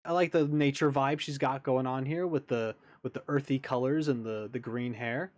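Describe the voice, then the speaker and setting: A man's voice: mid-range voice, steady tone, moderate pace. A man giving casual reaction commentary as a video host, with easygoing, hesitant phrasing.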